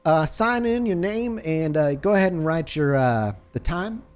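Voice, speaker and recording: A man's voice: on the high side, expressive, normal speed, clear, resonant tone, pitched above the performer's own voice, lightly acted. A man voicing a friendly, chatty, shy female receptionist with a hesitant, folksy way of talking in a tabletop game. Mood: polite, flustered.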